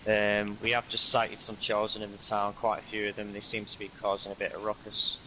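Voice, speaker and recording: A man leading a roleplay squad, briefing the group in a calm, steady, instructive way with frequent uhs. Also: lowish voice, brisk, slight background noise, poor audio. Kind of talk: in-character tactical briefing.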